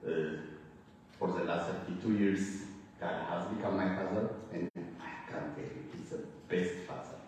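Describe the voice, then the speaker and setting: A man's voice: fairly low pitch, warm tone. A man in his forties from Ethiopia, with an Ethiopian accent, preaching a sermon and sharing his testimony with earnest, lightly humorous storytelling. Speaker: guest preacher. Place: church sanctuary. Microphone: church microphone, heard from afar. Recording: echoey hall from far off.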